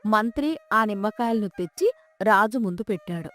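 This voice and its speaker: A woman's voice: medium pitch, lively intonation, normal speed, fairly bright tone, faint music behind. A woman narrating a scripted folk tale as its storyteller.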